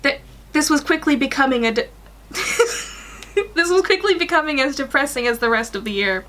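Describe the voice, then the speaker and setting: A woman's voice: high voice, lively intonation, normal speed, fairly bright tone. A woman in her twenties reading a fan story aloud and chatting informally in a small room at home. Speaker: guest reader on a fan fiction livestream.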